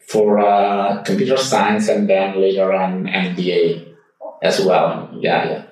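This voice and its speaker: A man's voice: sits low, level tone, slow pace, darkish tone, heavy reverb, far from the mic, low-quality recording. A man with an Italian accent speaking English as a second language, answering interview questions hesitantly with many fillers and restarts. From Italy. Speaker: guest. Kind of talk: casual interview. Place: home in Switzerland.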